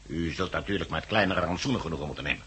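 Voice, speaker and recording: A man's voice: deep voice, rapid-fire, bright, forceful tone, husky, heavily acted. A man acting in a 1960s Dutch radio drama as a cold, officious committee envoy, formal and pompous, with a Netherlands accent. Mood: coolly insistent. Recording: muffled-edged vintage 1969 radio recording.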